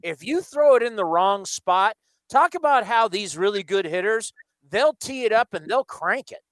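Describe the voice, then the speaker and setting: Chatty guy broadcaster in a press box, high smooth voice. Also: expressive, normal speed, fairly bright tone, no room sound, rough recording. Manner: chatty, enthusiastic. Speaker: radio broadcaster. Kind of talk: broadcast interview.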